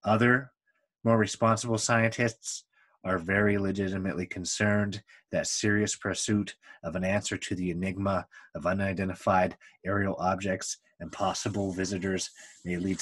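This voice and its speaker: A man reading a book aloud in deliberate phrases, with pauses between them. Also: low-pitched. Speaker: book reader.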